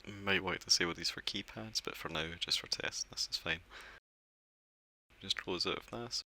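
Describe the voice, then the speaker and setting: A man with a low, gravelly voice talks through a software setup one step at a time. His tone is bright and forceful despite the depth, and he keeps things relaxed with small fillers as he clicks along. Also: normal speed. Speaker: software tutorial presenter. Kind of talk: screen-recorded software walkthrough.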